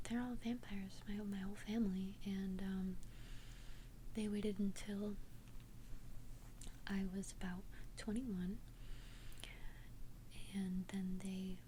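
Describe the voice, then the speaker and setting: A woman's voice: mid-range voice, level tone, mellow, slight background noise, natural voice, low-quality recording. Woman as a whispering young vampire confidante. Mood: tender, confiding. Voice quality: breathy unvoiced whisper. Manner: hesitant, halting, earnest confession. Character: immortal young female vampire confiding tenderly in a best friend. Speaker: ASMR roleplay performer. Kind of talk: whispered ASMR roleplay.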